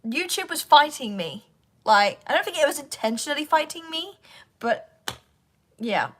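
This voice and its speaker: A woman voice actor with a sore, croaky throat, rambling casually to her livestream audience and talking to herself while she fights with her devices. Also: sits high, expressive, moderate pace.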